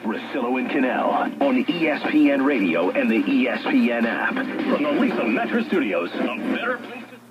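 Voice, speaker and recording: A man's voice: mid-range voice, big pitch swings, normal speed, dull audio, loud music behind the voice. A man announcing a scripted station promo, heard through an old radio's speaker. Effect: played through an old radio speaker.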